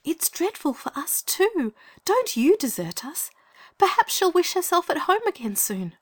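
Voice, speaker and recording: An earnest young girl from a storybook, put on by a man narrating. The voice is high with big swoops of pitch, sounding eager and urgent. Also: very animated, measured pace, full character voice. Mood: pleading.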